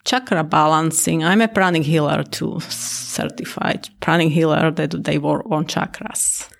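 A woman's voice: sits low, steady tone, a little slow, vocal fry. A woman from Slovakia with a Slovak accent, talking as an interview guest about her teaching, hesitant with many fillers. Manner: hesitant with fillers, laughs often. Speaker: guest, Tai Chi and Qigong teacher. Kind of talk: casual interview talk.

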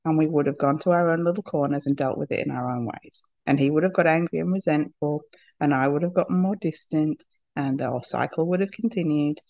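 Podcast guest, female, husky from a cold, with a gravelly edge; open, laughing, halting restarts. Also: low voice, a little mellow, no echo, highs cut off. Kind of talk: candid personal conversation.

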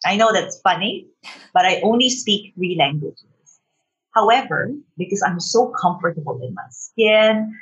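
Giggly Filipina guest on a lo-fi line. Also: female voice, fairly low pitch. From Philippines. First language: Tagalog. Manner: animated, giggly, self-assured. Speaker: business coach, guest. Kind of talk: casual interview.

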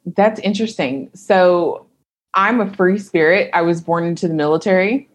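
A woman entrepreneur from Kentucky, a guest on a podcast, who speaks in a fairly low voice. She thinks aloud as she answers and often pauses on "um" and restarts her sentences. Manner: reflective, thinks aloud with fillers. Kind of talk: casual interview talk.

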